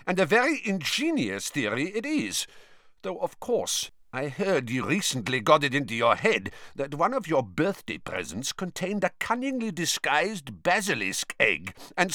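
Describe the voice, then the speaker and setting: A cold, haughty wizard from a storybook, put on by a male reader. His mocking speech is pitched high and swoops with sarcasm. The sound is dry. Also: sharp tone, dry recording, exaggerated voice.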